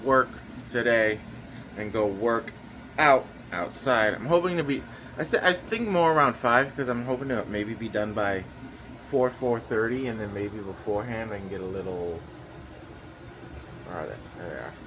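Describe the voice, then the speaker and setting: A man's voice: fairly low pitch, lively intonation, normal speed, noisy background. A young man chatting casually through his daily vlog plans, a little nasal and rambling with lots of fillers. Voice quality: slightly nasal. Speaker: vlogger.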